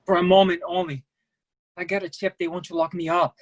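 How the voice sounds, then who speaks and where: A man's voice: high voice, level tone, a little fast, close to an everyday voice. A man playing a desperate foreign fugitive masseur in a staged play reading, pleading in clipped broken English. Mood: urgent.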